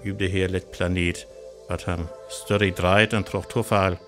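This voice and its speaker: A man's voice: low voice, little pitch movement, moderate pace, fairly bright tone, soft background music. A man with a North Frisian accent acting as the grave narrator of a science fiction radio play. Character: grave male narrator of an alien invasion tale. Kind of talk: scripted dramatic narration.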